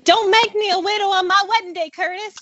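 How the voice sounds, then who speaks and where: Casting: woman as kind, gushing young auditionee, high voice, dull internet-call sound. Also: fairly even intonation, brisk. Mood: pleading. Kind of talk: comedic amateur script table read. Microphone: remote video-call microphone. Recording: muffled internet call, faint noise.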